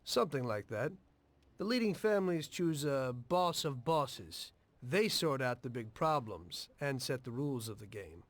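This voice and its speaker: An actor playing a weary, pragmatic middle-aged mobster in a video game, plainspoken and measured. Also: male voice, medium pitch, expressive, natural voice. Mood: matter-of-fact, firm.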